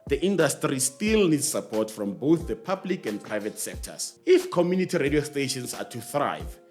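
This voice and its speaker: South African man presenting, lively pitch. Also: moderate pace, faint music behind. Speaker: host of a series on radio. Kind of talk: scripted explainer narration.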